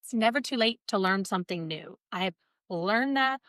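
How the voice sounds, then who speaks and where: Sex: woman. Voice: nasal, mid-pitched. Role: podcast co-host. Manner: chatty, self-mocking. Sound: dry, close. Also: expressive, normal speed, fairly bright tone, no room sound. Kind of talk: casual podcast chat.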